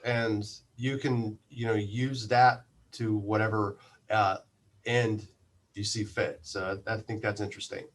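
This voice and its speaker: A man speaking as an interview guest, explaining things casually in a halting, thoughtful way. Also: lowish voice, flat delivery. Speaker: interview guest, community radio manager.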